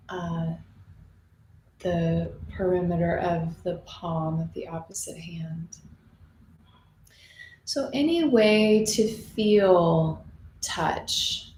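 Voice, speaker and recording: Female meditation teacher, soothing and slow-paced, leaving long gaps, recorded in a roomy space. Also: medium pitch, a little mellow. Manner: soothing, unhurried, with long pauses. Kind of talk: guided meditation, gently spoken and unscripted. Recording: roomy echo, faint background noise.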